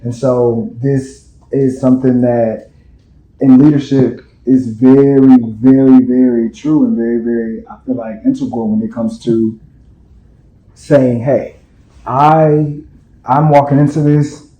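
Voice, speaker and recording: A man hosts a casual interview, talking things through in a conversational way. His voice is warm and mellow. The sound is dull and echoes in a roomy space. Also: mid-range voice, steady tone, a little slow. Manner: conversational, with frequent affirming backchannels. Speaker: host.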